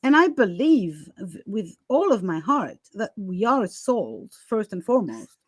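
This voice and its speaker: A woman's voice: medium pitch, very animated, measured pace, husky, dry recording, studio quality. A woman podcast host talking candidly and casually, emphatic and given to chuckling as she goes.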